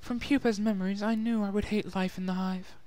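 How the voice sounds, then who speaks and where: Man playing a young lady pony, refined, soft and earnest, lifted feminine pitch, dry close sound, storybook drama. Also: steady tone, brisk, smooth, no room sound, lightly acted. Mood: sad, reflective. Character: refined young female pony recounting a sorrowful past. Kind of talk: dramatic fan-fiction reading.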